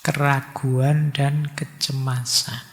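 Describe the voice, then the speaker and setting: A man's voice: mid-range voice, level tone, slow pace, in a large hall. A man giving a chatty religious lecture, slipping in words and acting out people's worried thoughts.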